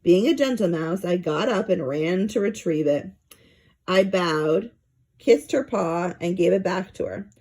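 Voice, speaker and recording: A woman reading a children's story aloud in an expressive narrating style. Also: fairly low pitch, unhurried. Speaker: storybook reader.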